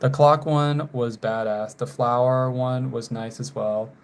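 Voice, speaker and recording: Young male streamer from North Carolina, unhurried, laid-back chat, drifts quieter at the ends of phrases, apologetic. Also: mid-range voice, steady tone, clear, resonant tone. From North Carolina, USA. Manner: slow, trailing off quietly, apologetic about names. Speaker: live streamer. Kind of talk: casual live stream chat while drawing.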